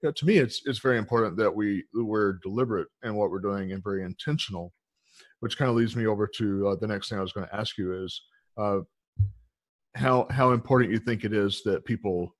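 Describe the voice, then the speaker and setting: A man's voice: lowish voice, dry recording. Rambling male interviewer, dry sound. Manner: rambling, many fillers, affirming. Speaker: podcast host, interviewer. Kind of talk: interview questions.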